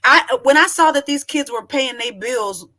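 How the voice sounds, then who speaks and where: A woman in her forties with speech, high-pitched and bright, pressing her points hard in casual group talk. She cuts in often and keeps the floor. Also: normal speed. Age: 40s. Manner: emphatic, talks over others. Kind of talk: casual panel chat.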